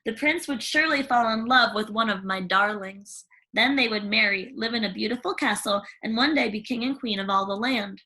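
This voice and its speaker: A woman reading a children's story aloud in an expressive, theatrical way with dramatic pauses. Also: on the high side, low-quality recording. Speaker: storybook reader.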